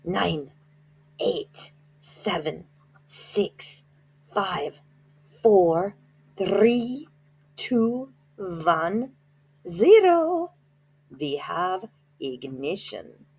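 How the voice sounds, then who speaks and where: Brief: shrieking, gloating witch queen from a storybook , a woman putting her on, high and stretched out. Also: big pitch swings, creaky, breathy edge, exaggerated voice, poor audio. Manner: shrill, theatrical, drawn-out. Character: sinister commanding female witch leader.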